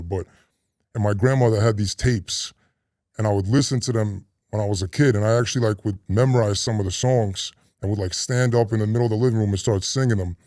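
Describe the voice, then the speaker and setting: A middle-aged man talking casually as an interview guest, relaxed and meandering with frequent restarts. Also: lowish voice, no room sound, clean studio sound. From grew up in a Russian immigrant neighborhood in the US. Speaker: interview guest, retired robber.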